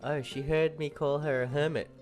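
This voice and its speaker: Woman game streamer, very low pitch, casual, amused, clear music behind. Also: steady tone, normal speed. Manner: amused, giggly. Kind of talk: casual live game reaction commentary.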